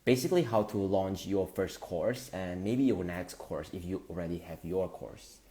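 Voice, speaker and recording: A man, hosting a casual live stream, greeting viewers and talking in halting bursts with lots of fillers. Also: low-pitched, animated, warm tone. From Indonesia. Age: 20s.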